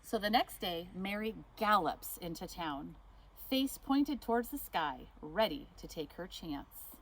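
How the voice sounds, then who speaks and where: A woman reading a picture book aloud, expressive, stopping now and then to ask the listener questions. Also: on the low side, measured pace, slight background noise, rough recording. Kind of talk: read-aloud storytime.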